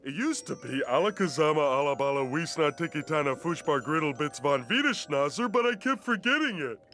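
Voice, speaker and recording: A man's voice: a little high, sing-song, a little fast. A man voicing a dim, good-natured, childish starfish in a cartoon video game, goofy and earnest. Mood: proud, simple. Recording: loud music over voice.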